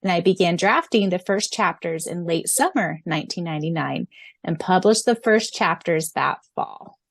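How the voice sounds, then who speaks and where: A woman co-hosting a casual fan podcast, gushing and excitable, often laughing between lines. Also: fairly low pitch, normal speed, creaky, dry recording. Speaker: podcast co-host.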